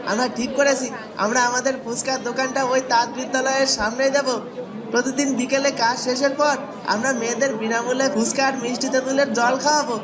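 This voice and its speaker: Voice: high voice, flat delivery, fast, a crowd in the background, lightly acted. A performer voicing a friendly young street-food seller.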